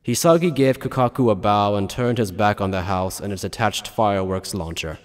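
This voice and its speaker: A man's voice: lowish voice, normal speed. A man reading scripted dramatic audiobook narration. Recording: long reverberant tail on voice.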